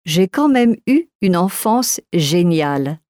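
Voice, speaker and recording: Slow, mellow-voiced woman reading for learners. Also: fairly low pitch, very animated, no echo, studio quality. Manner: careful, clearly articulated. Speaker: language course reader.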